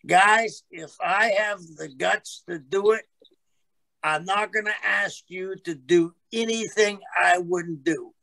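An older man speaks slowly and deliberately, with a gravelly but bright, high voice. The sound is dry and close, from a video call. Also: dry recording, poor audio. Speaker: host. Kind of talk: slow casual chat.

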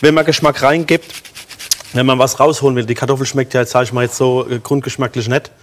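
A man's voice: mid-range voice, steady tone, fast, slight background noise. A German man in his thirties, a chef explaining a recipe step by step in a TV studio kitchen, with many fillers. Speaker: guest chef. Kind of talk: cooking demonstration chat.

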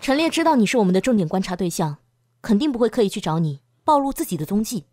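A woman acting a composed, analytical police officer in a Chinese audio drama, speaking in an official, deliberate way. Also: mid-range voice, big pitch swings, dark tone, dry recording. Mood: serious, advising.

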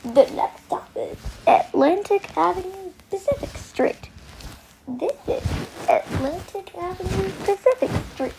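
Female transit announcer, extremely high pitch, unhurried, scripted clipped phrasing, faint background noise. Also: expressive, vocal fry, mellow. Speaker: train announcer. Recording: noisy recorded train announcements.

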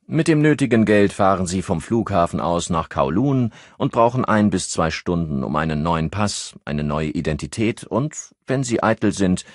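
A man voicing a smug federal police officer who brushes off requests in a brisk, bureaucratic tone. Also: low-pitched, lively intonation, dry recording, clean studio sound, pitched above the performer's own voice. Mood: dismissive.

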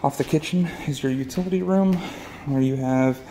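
A man's voice: mid-range voice, normal speed, warm tone, a little hiss or hum, low-quality recording. A man walking through a house with a phone camera, casually pointing out the rooms as he goes. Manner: matter-of-fact, pointing out rooms as he walks. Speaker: house tour guide. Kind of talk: casual walkthrough narration. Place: large unfinished house, indoors. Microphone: handheld phone camera.

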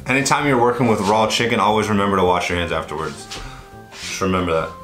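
A young man with a fairly low voice talks through a cooking how-to in a loose, chatty way, often stopping to restart his sentences. He films himself with a handheld camera in a small home kitchen. Soft music plays faintly underneath. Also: steady tone, quick pace. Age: young adult. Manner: rambling, chatty, self-correcting. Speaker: vlogger. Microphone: handheld camera at arm's length.

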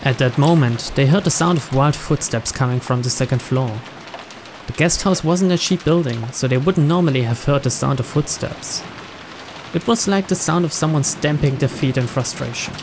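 A man's voice: fairly high pitch, moderate pace. A man reading visual novel narration aloud as a let's-play narrator. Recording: noticeable rain noise behind. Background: rain and game ambience.

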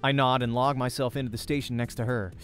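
A man's voice: medium pitch, level tone, fast, a bit bright. A man doing a let's play, reading a visual novel's narration aloud with expression. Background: faint music.

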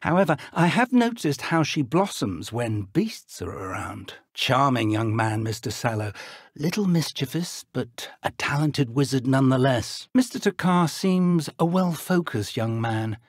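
An old British professor of wizardry in a video game. His mid-pitched voice is husky and worn, with formal, measured phrasing. He comes across as a kindly, earnest mentor, in a close, dry studio sound. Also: very animated, no room sound. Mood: fond, wry. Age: older. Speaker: game character voice actor. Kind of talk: video game dialogue acting.

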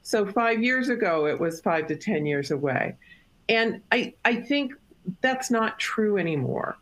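A woman's voice: mid-range voice, lively intonation, measured pace, a bit bright. A middle-aged woman speaking directly and conversationally on a video-call panel. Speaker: industry panelist. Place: home room.

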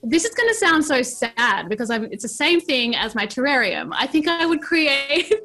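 A woman's voice: high-pitched, sing-song, pushed and bright, faint music behind. A woman in her thirties from New Zealand, an enthusiastic guest on a casual craft chat. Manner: enthusiastic, gushing, fast bursts. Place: colourful craft studio set.

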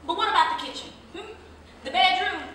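Echoey, high-pitched young mother, frustrated and insistent, acted by a woman. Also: a little fast, bright, forceful tone. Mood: frustrated, challenging. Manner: emphatic, insistent, vernacular delivery with pointed questions. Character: young working-class mother, frustrated, practical, loving but wary. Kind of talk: staged reading of a stage drama. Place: live staged reading, recorded from a distance indoors. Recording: echoey room, distant mic, faint noise.

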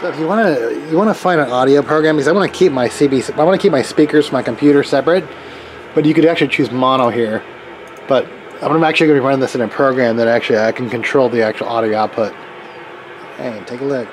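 A man's voice: mid-range voice, fast. An American man giving a casual, rambling hands-on demonstration, tentative and informal as he thinks aloud. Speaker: hobbyist video maker demonstrating a radio setup. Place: open garage workbench. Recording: steady ambient noise, home garage camera audio.

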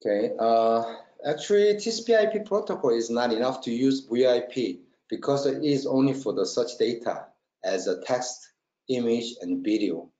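A man presenting a technical webinar in halting non-native English, checking often that listeners follow. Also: mid-range voice, animated, dark tone. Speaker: webinar presenter, company marketing trainer. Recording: roomy, dull lo-fi webinar audio.

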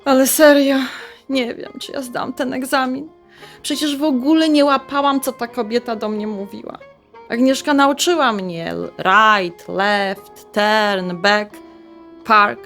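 An actress playing a chatty, warm-hearted middle-aged mother in a radio drama, with colloquial, expressive speech. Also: a little high, measured pace, soft background music. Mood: worried. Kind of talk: radio drama dialogue, conversational.

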